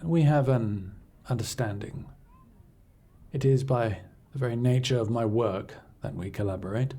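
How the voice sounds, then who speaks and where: A man performs an imperious male scholar in service to an arcane patron, speaking straight to the listener in an audio drama. His voice is mellow and husky at a middling pitch. He is measured and formal, with a cool, detached condescension. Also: natural voice. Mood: dry, dismissive. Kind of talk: scripted audio roleplay acting, addressing the listener.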